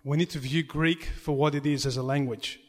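A man's voice: a little high, fairly even intonation, a little fast. A man who chants in church, speaking in a question-and-answer discussion, earnest and conciliatory, often restarting his sentences. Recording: long reverb of a hall.

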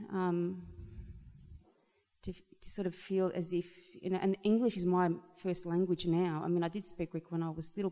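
A woman's voice: fairly low pitch, dark tone. A woman speaking from the audience in a question-and-answer discussion, hesitant and heartfelt, with many fillers. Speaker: audience member. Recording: long reverb of a hall.

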